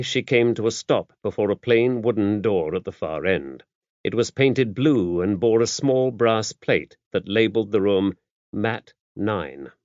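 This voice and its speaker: A man's voice: fairly low pitch, darkish tone, no room sound, dull audio. A man reading scripted mystery fiction as an audiobook narrator.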